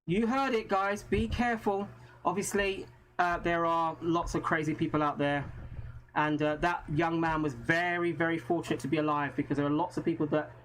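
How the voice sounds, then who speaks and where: A man's voice: fairly high pitch, fairly even intonation, normal speed, a bit bright, poor audio. A man from England with an English accent, hosting a live stream in a casual, chatty way and often thanking his viewers. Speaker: host.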